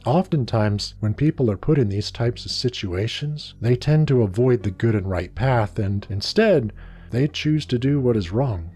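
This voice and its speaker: A man's voice: mid-range voice, expressive, normal speed, warm tone, breathy edge. A man playing a domineering, self-righteous male schoolteacher in a story, stern and emphatic, drawing out his words. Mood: grave lecturing. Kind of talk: scripted story dialogue.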